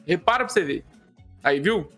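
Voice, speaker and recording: A man with a Minas Gerais accent jokes his way through a casual podcast chat. His voice sits high and swings widely in pitch, with a bright, forceful edge, and faint music plays underneath. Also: moderate pace. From Minas Gerais, Brazil. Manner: joking, animated, acts out quoted voices. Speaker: guest comedian and streamer.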